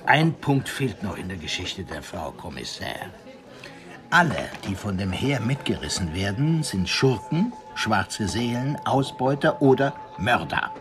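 An actor playing a learned, grave police commandant who tells tales in a theatrical, savoring way in a radio crime drama. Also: male voice, on the low side, big pitch swings, unhurried. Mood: ominous. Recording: music bed under studio radio drama.

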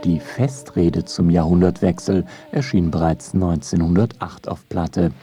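A man's voice: low voice, unhurried, dark tone, faint music behind. A man narrating a scripted radio feature in a wry documentary style.

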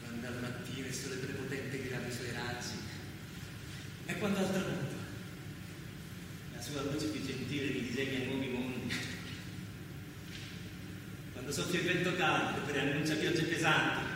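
An actor playing an anguished biblical brother in a radio play, speaking intense, lyrical lines with insistent repetition. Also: male voice, medium pitch, lightly acted. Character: anguished biblical brother, a toiling man of the land. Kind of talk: poetic theatrical radio drama. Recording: very echoey, distant, noisy hall.

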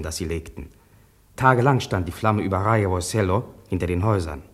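A farmer's son remembers his lost village in a radio play. He narrates soberly and reflectively in a fairly low voice. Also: breathy edge. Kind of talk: first-person radio drama narration.

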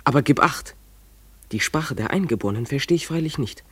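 An actor playing a dreamy, defiant runaway schoolboy in a German radio play, speaking in an imploring, declamatory way. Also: male voice, medium pitch, expressive, brisk, breathy edge, no echo. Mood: fervent, hopeful.

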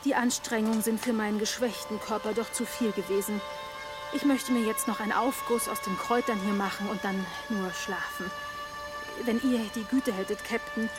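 A man playing a polite, weakened gentleman in a German radio drama, courteous and deferential. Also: sits high, steady tone, normal speed, over loud music, close to an everyday voice. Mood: weary, apologetic. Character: polite, weakened man excusing himself to rest.

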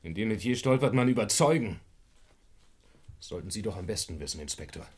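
An actor playing a cornered gangster in a German radio crime drama, bitter and defensive, with terse speech and dramatic emphasis. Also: male voice, fairly low pitch, animated, fairly quick, a little mellow, husky. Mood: anxious, bitter.

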